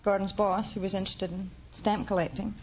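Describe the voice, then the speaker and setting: A slightly noisy, muffled vintage radio recording of a young woman with a Scottish burr. She is a contestant chatting and telling her story, in a mid-pitched voice. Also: level tone, a little fast. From Isle of Man and Glasgow. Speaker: quiz show contestant. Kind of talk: conversational storytelling.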